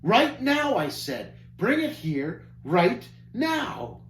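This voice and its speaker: A man voicing a gruff, greedy boy who shouts at servants and taunts his brother. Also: high-pitched, huge pitch swings, drawn-out words, pitched above the performer's own voice, exaggerated voice. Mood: angry demanding. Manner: shouting, snapping, jeering. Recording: echoey room, faint hum.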